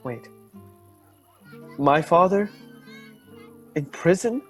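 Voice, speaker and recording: An actor playing an earnest, courteous young gentleman in a radio drama, troubled and polite. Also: a little high, animated, drawn-out words, faint music behind. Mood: shaken, earnest.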